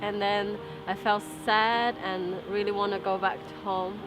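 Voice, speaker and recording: A woman's voice: medium pitch, fairly even intonation, measured pace, poor audio. Woman from Hong Kong, plain and simple. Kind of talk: interview testimonial. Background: music.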